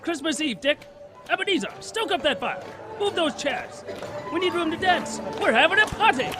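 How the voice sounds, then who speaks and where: A jolly, very high-pitched old boss. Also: male voice, animated, moderate pace, music in the background. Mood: merry. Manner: boisterous, exclaiming. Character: jolly, boisterous old male employer. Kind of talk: theatrical audio drama acting.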